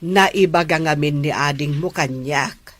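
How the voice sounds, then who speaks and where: A woman's voice: low voice, unhurried. A woman playing a caring middle-aged mother in a radio drama, giving tender, earnest counsel. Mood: gently probing. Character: gentle, caring middle-aged mother advising her daughter.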